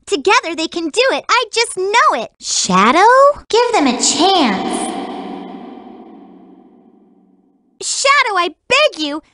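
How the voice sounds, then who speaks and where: A woman voicing a cute, energetic young girl hedgehog for a video game, sweet but feisty and lovestruck, with a perky, emphatic girlish delivery. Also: very high-pitched, unhurried, dry recording, exaggerated voice. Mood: pleading.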